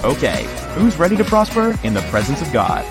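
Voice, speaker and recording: A male announcer reading a scripted show intro, booming and hyped. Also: wildly swooping pitch, brisk, vocal fry, over music. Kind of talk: scripted promo voice-over.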